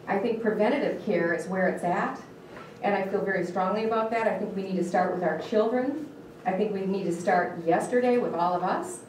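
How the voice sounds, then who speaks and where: A woman's voice: mid-range voice, brisk, darkish tone, slight background noise. Woman, Michigan accent, dietitian teaching a class; earnest, questions the room, restarts her words; distant mic in a very echoey room. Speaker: dietitian giving a talk. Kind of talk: live educational talk to an audience.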